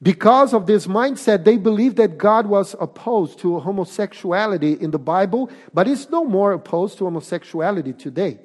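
A man with a Portuguese accent preaching a sermon, speaking deliberately and emphatically with pauses. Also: high-pitched, expressive, darkish tone. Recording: long reverb of a hall.

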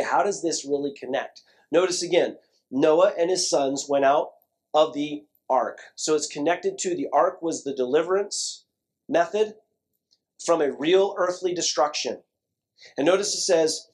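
A man's voice: a little high, a little mellow. A man preaching a sermon, emphatic and repetitive, with frequent asides to the congregation. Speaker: pastor.

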